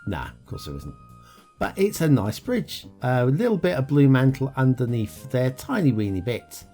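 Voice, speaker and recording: A man's voice: medium pitch, sing-song, moderate pace, warm tone, faint music behind. Chatty man reviewing game maps. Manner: chatty, self-mocking, chuckles often, trails off with ums. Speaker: game map reviewer, show host. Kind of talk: casual gameplay commentary.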